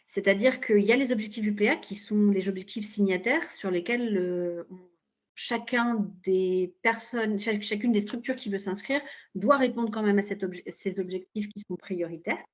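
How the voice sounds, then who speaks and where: A woman explaining policy in a webinar, haltingly searching for her words. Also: medium pitch. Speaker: local government cultural policy official, panel speaker. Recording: dull, lo-fi online call audio.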